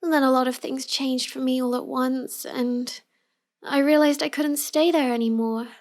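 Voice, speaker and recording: A woman playing a shy, sweet young villainess who feigns helplessness in a halting, apologetic way for an intimate roleplay audio. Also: high voice, flat delivery, darkish tone. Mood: sweet, guarded.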